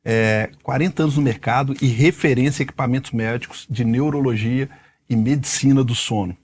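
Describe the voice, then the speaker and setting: 40s guy hosting a podcast, mid-pitched voice, upbeat and emphatic, asks lots of questions. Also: brisk, darkish tone, slightly rough. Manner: enthusiastic, emphatic introductions. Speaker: podcast host.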